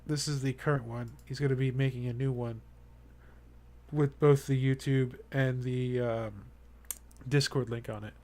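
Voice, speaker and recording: A young man talks casually to the viewers of his live stream. He rambles earnestly, stopping to restart his sentences and leaning on fillers. His voice sits at an ordinary middle pitch for a man. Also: fairly even intonation, unhurried, a little mellow. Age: young adult.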